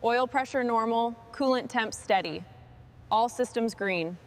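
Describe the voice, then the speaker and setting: A woman's voice: fairly high pitch, a little slow, slight background noise. A woman giving clipped, approving commentary to herself while working on a vehicle restoration. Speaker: restorer narrating own work. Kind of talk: short task commentary during vehicle restoration.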